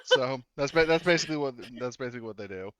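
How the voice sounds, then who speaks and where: A man's voice: medium pitch, expressive, quick pace, a bit bright, clean tone, no room sound, studio quality. A man acting as game master, talking casually in a rambling, informal way with some swearing. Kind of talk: casual tabletop game narration.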